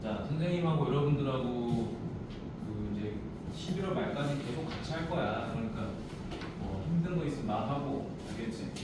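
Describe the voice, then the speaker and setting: A man's voice: mid-range voice, animated, moderate pace. A man teaching a math class, walking students through steps and often stopping to check that they follow. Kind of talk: classroom math lesson with casual chat to students. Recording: distant mic, echoey noisy classroom.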